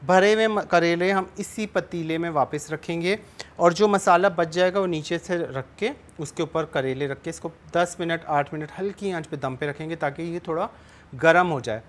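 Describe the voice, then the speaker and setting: A man's voice: a little high, normal speed. A man in his thirties hosting a cooking show, patiently explaining each step as he cooks in a studio kitchen. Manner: explains steps patiently, switches between Urdu and Sindhi. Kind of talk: cooking demonstration with phone-in calls. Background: background music.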